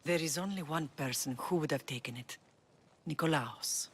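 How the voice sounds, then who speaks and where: A woman's voice: low voice, normal speed, slightly rough. An actress playing a middle-aged Spartan mother in a video game, earnest, grave and resolute. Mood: wistful. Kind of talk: video game dialogue acting.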